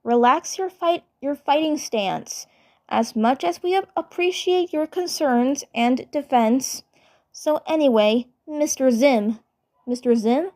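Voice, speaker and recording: Woman doing a polite responsible cartoon hero girl, leader type, highish voice, slow and reassuring. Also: darkish tone. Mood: calming, polite. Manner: reassuring, repeats phrases.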